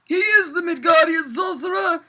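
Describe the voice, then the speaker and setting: A woman's voice: extremely high pitch, normal speed, pushed and bright, a little hiss or hum, voice pushed up. A woman voicing a haughty, curt male god prince from fan fiction, with stiff, formal declamation. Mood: indignant, defensive.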